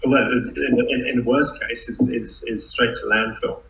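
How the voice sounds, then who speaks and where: A man who founded a startup answers interview questions, restarting sentences often and filling pauses with "uh" and "um". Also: medium pitch, steady tone, a bit bright. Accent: non-New Zealand, foreign-born. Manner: halting, many restarts and fillers. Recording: echoey, dull lo-fi audio.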